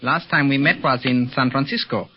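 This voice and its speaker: A man playing a polite, slightly halting Chinese tea house owner and secret operative in a 1930s radio serial. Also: medium pitch, breathy edge, close to an everyday voice. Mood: grave. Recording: dull old radio recording with music.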